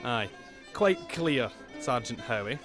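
A man's voice: medium pitch, animated, slow pace, loud music behind the voice. An actor playing a placating Scottish pub landlord in an audio drama, pleading his case. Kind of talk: full-cast audio drama acting.

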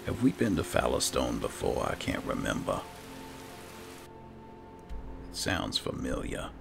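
A man giving casual gameplay commentary, muttering to himself in a gravelly voice. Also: rumbling low, huge pitch swings, moderate pace, over music. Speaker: gamer, let's player.

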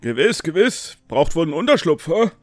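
An older town guard at a fantasy gate, put on by a male game master. He is affable yet evasive and draws out his folksy phrases. The pitch sits a little high, in a tabletop role-play manner. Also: big pitch swings, a little fast, dry recording, faint music behind. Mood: affable, curious.

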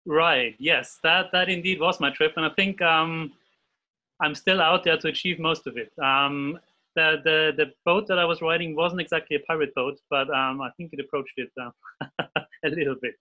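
A man in his forties with an Austrian German accent talks over a video call, hesitant and full of fillers. His voice is bright and forward, and the call audio is dull and muffled. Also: a little high, steady tone, moderate pace. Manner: hesitant, many fillers and restarts. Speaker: guest illustrator. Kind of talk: casual interview. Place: home room at a desk.

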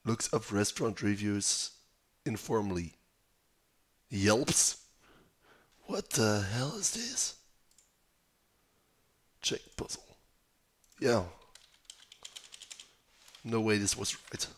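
Slow, raspy young man thinking aloud. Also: fairly low pitch, lively intonation. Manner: mutters, hesitates, trails off while thinking. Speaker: puzzle video host. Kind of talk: casual think-aloud while solving a crossword. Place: small room indoors.

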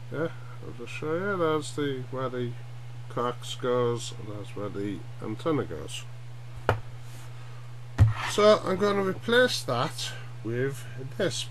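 A middle-aged man giving an informal, halting hobby demonstration at home, often checking in with short tag questions. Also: mid-range voice. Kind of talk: informal explainer vlog. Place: small cluttered room at home, indoors. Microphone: webcam at arm's length. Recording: noisy webcam audio with hum.

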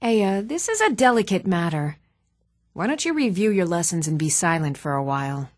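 An actress playing an adult townswoman in a fantasy role-playing game, speaking in an even, measured, clearly enunciated way. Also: fairly low pitch, no room sound, clean studio sound. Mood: formal, firm. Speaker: video game voice actor. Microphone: close, dry studio microphone.

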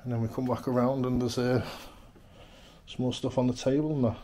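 A man's voice: medium pitch, level tone, a little fast, mellow, a little hiss or hum. A man narrating a walkthrough of an abandoned house in an offhand, chuckling way, recorded on a handheld camera indoors. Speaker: urban explorer vlogger. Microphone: camera mic, handheld walkthrough.

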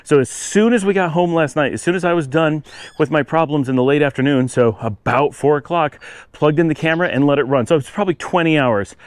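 A man's voice: a little high, a little fast, no room sound. A man narrating a casual walking-tour vlog outdoors, rambling and thinking aloud as he goes. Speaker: travel vlogger. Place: outdoors, walking on residential city streets.

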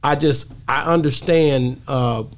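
A man's voice: mid-range voice, slow. A middle-aged man hosts a casual podcast chat, informally repeating phrases and checking in with the listener. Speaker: podcast host. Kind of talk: casual, animated interview chat. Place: small podcast studio. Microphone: close studio microphone on boom, headphones.

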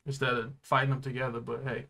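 A man's voice: medium pitch, little pitch movement, quick pace, creaky, pushed and bright. A young American man chatting casually and in slang as an anime reaction host, seated in a small room. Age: young adult. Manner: slangy, informal.

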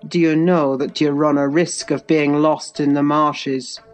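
A woman voicing a gruff, stern master of a moorland house in an audiobook. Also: low-pitched, level tone, unhurried, soft background music. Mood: stern, chiding. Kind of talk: audiobook character voice.

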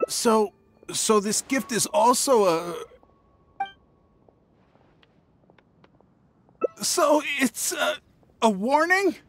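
An actor playing a nervous, jumpy young traveling merchant in a video game, hesitant and flustered, prone to stammering. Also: male voice, very high voice, sing-song, slow pace, soft background music, heavily acted. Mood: suspicious, alarmed. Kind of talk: video game character acting.

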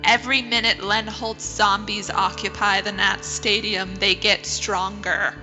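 A woman's voice: mid-range voice, level tone, unhurried, over music, lightly acted, low-quality recording. A woman playing a brash, sardonic podcaster heroine in an audio drama, hard-boiled and bossy. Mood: jaded.

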